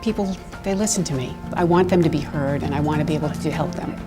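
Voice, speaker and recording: Older woman mediator: soft, gentle delivery, low voice, music under it. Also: quick pace. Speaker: eviction mediator. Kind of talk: interview in a produced video.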